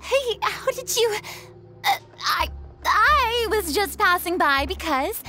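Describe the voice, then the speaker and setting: A woman's voice: very high-pitched, very animated, slow, pushed and bright, faint music behind, full character voice. A woman playing a proud, theatrical but easily flustered young lady in a video game. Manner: theatrical, hesitant, formal wording.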